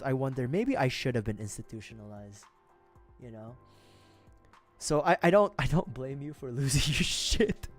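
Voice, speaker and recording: A young man with a Filipino accent, chatting casually on his livestream in rambling, giggly asides. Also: medium pitch. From Philippines. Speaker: streamer. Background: faint music.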